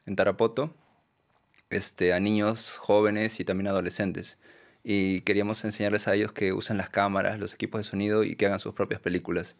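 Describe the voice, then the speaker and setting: A Peruvian man, a documentary filmmaker, answering interview questions in a soft, hesitant way with many fillers and tag questions. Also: fairly low pitch. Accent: Peruvian Spanish. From Peru.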